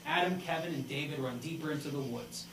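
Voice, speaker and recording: A man reads out screenplay directions at a live table read. His delivery is halting and informal. The sound is echoey and picked up from a distance, with heavy noise behind him. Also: fairly high pitch. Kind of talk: live script read-through of a comedy screenplay. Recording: roomy, distant pickup with loud room noise.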